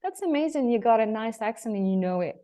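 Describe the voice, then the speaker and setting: A woman's voice: on the high side, lively intonation, fast, warm tone. A woman from Tunisia, hosting a podcast interview in a casual, hesitant way with many fillers. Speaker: podcast host.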